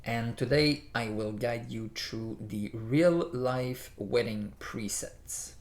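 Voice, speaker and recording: A native French speaker explains photo editing in English, his French accent plain throughout. He talks at a slow, deliberate pace, guiding the viewer step by step and leaning on "you know" as he goes. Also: medium pitch. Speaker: photography tutorial host. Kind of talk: screen-recorded software tutorial.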